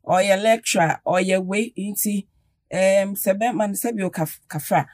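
A woman's voice: mid-range voice, measured pace, darkish tone, no echo, studio quality. A woman, a radio talk show guest, explaining her views with little stories. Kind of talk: casual radio chat.

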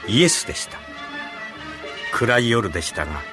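A man's voice: fairly low pitch, sing-song, moderate pace. A man narrating a Bible story for a radio drama in a dramatic storytelling manner. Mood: solemn narration. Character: solemn storyteller of a Bible tale. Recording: music behind the voice.

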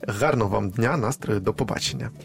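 A man's voice: fairly low pitch, fairly quick, creaky. A man hosting a conversational health talk show on the radio in an upbeat, encouraging manner. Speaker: radio host.

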